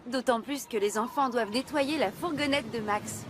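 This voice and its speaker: Casting: actress, sensible cartoon woman, high pitch, expressive and reassuring, music underneath. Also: moderate pace, natural voice. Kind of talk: French children's cartoon dub. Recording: music behind the voice.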